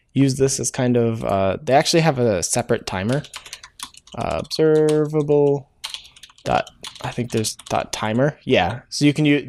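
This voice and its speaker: A man's voice: medium pitch, a little mellow. A man hosting a programming tutorial, thinking aloud as he codes, with frequent "uh" fillers and self-corrections. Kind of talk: casual live-coding walkthrough. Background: keyboard typing and clicks.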